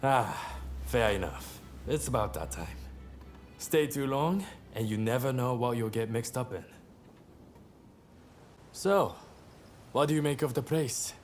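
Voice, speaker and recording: A man's voice: medium pitch, lively intonation, measured pace, pauses often, soft background music, natural voice. A man playing an easygoing, cheeky young samurai gambler in a video game cutscene, with a breezy, teasing manner.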